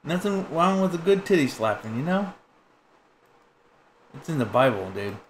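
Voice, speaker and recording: A man's voice: fairly high pitch, sing-song, moderate pace, a little hiss or hum. Crude joking man streaming a game. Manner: joking, crude, rambling banter. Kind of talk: casual gaming chat.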